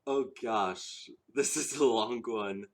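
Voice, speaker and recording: A man's voice: mid-range voice, slow pace. A young man answering viewer questions in a casual chat, earnest and eager, rambling with ums and restarts, a little out of breath. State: slightly out of breath.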